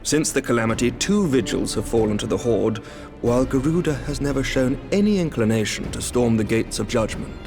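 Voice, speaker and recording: An actor playing a shrewd, composed middle-aged knight commander who speaks formally and measuredly in archaic diction. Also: male voice, mid-range voice, animated, a little fast, over music, natural voice. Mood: calm, diplomatic. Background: orchestral game score.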